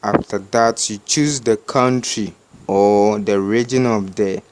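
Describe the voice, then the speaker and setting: A man with a Ghanaian accent gives unscripted step-by-step instructions in a halting, repetitive way, as a tutorial host. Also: lowish voice, steady tone, darkish tone, clean tone.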